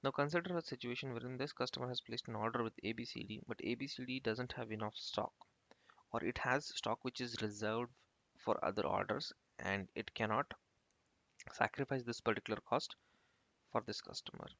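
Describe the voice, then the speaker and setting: A man giving an informal software tutorial as an instructor, explaining step by step in a halting, repetitive way. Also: medium pitch, gravelly. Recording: muffled lo-fi screen-recording voice-over.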